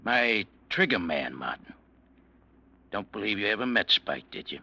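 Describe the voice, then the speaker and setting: Deep raspy gangster boss, calm threats, muffled old radio sound. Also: male voice, moderate pace, heavily acted. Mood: menacing. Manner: cold, quietly threatening. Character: menacing male gangster boss. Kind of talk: 1940s radio acting.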